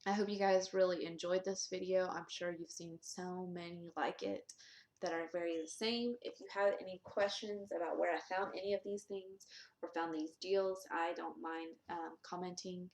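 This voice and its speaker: Young woman vlogging, low-ish voice, lots of ums, kinda echoey room. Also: steady tone, moderate pace, a little mellow. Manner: chatty, candid, many ums and restarts. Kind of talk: casual vlog.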